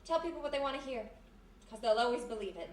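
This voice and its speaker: A woman's voice: fairly high pitch, animated, quick pace, a little mellow, lightly acted. An actress playing a plucky, wisecracking young woman, a detective's girl Friday, in a live radio mystery with blunt delivery. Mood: guarded. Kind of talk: live 1940s-style radio mystery acting. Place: live performance in a historic house room. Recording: echoey distant live recording, faint noise.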